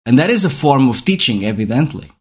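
A man's voice: medium pitch, very animated, fast. A man from Portugal, a native Portuguese speaker, talking in English about learning and teaching, thinking aloud with frequent restarts and rhetorical questions. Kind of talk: reflective talk on learning.

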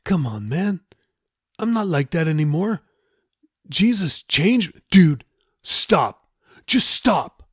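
A man's voice: fairly high pitch, very animated, slow pace, pauses often, husky, no room sound, clean studio sound, voice pushed up, full character voice. A man voicing a reformed tough young male sailor in an audiobook, steadfast and earnest, reasoning in slangy speech. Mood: uneasy, insistent.

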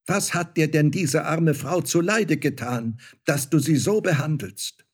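A man's voice: on the high side, unhurried, husky, pitched above the performer's own voice. A man voicing a kindly older woodcutter who speaks earnestly and reprovingly.

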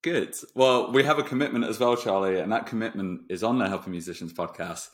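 Male podcast host, lowish voice. Informal, jokey, generous with praise in relaxed interview chat. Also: fairly quick.

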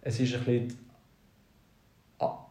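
A man with a Swiss German accent who stutters, talking in a halting, reflective way as a podcast co-host. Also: mid-range voice, monotone, mellow. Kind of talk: casual podcast chat. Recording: roomy echoey sound.

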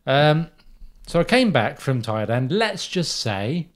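A man's voice: on the high side, very animated, slow pace. A man in his thirties chats casually as a podcast co-host. He rambles through his stories and pokes fun at himself as he goes. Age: 30s. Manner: rambling, self-mocking storyteller. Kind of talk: casual chat. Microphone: studio microphone.

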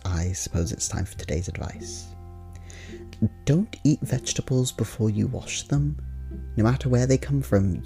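A man's voice: lowish voice, creaky, mellow. A man playing a friendly, rambling diarist in an ASMR roleplay, talking casually with wry, hesitant asides. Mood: earnest, wry.

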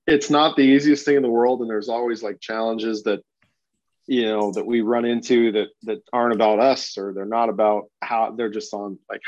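Laid-back male guest, slightly low voice, hesitant fillers, dry close sound. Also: a little mellow, dry recording, muffled recording. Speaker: sportsbook manager. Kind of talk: casual interview answers.

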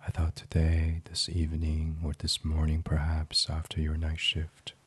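A man leading a sleep meditation in an ASMR style, gentle and soothing, breathy and often half-whispered. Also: extremely low pitch, monotone, normal speed. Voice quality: breathy, hushed. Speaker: guided meditation host.

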